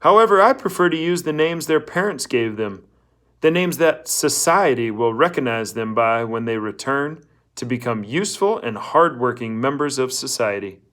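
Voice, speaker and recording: A man's voice: mid-range voice, lively intonation, normal speed, a bit bright, close to an everyday voice. Man putting on a hearty, preachy camp counselor. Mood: upbeat, preachy. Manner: hearty, encouraging, patronizing. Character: cheerful, preachy male camp counselor addressing teenage boys.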